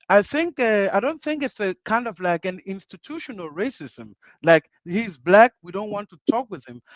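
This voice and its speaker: A man's voice: very high voice, no room sound. A man from Uganda, a guest on a panel discussion, talking earnestly, restarting phrases and repeating himself for emphasis. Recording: dull lo-fi dry sound.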